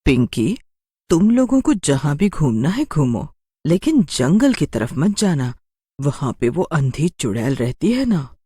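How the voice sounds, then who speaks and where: Woman as a low-voiced, caring village mother. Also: big pitch swings, a little slow, mellow, slightly rough, no room sound, studio quality, heavily acted. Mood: worried. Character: caring, worried village mother warning young people.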